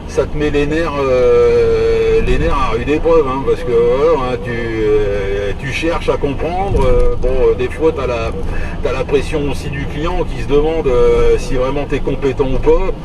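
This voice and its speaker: A man's voice: mid-range voice, a little slow. A man in his forties chatting casually over CB radio, talking in a hesitant, repetitive, colloquial way. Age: 40s. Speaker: heating repair technician. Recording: distant mic, clear vehicle noise.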